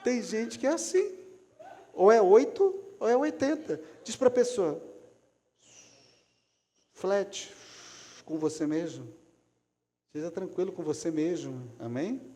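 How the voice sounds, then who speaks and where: A man preaching a sermon, swinging between loud calls and quiet asides. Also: sits high, lively intonation, takes it slowly, long pauses. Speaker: pastor. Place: church hall. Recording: long church hall reverb.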